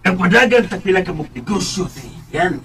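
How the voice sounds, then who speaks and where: A man's voice: sits high, expressive, a little fast, a bit bright, faint music behind. A man playing a blunt, bossy middle-aged businessman father in a radio drama, with a Cebuano Filipino accent and bits of English. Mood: pressing, dismissive. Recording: noticeable background noise.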